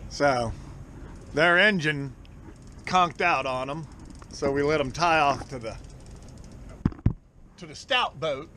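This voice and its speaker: Middle-aged man, Southern US accent, highish voice, folksy fishing-host banter, recorded outdoors on a boat with some engine noise. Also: takes it slowly, long pauses, bright, forceful tone. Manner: folksy, salty-tongued joking. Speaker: charter fishing captain and host. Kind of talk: casual chat while fishing. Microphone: action camera on the boat.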